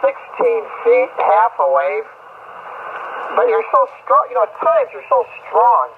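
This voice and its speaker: A man's voice: very high-pitched, huge pitch swings, unhurried, creaky, phone-quality sound. An American man chatting casually and at length as an amateur radio operator over shortwave, often hesitating and repeating himself. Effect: heard over shortwave ham radio. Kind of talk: casual ham radio conversation. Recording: thin, very noisy shortwave radio signal. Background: loud radio static.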